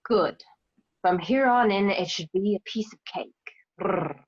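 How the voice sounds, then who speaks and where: A woman's voice: mid-range voice, unhurried, slightly rough, lo-fi sound. A woman voicing a confident, scheming female cat burglar in an amateur radio play. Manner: cool, self-assured.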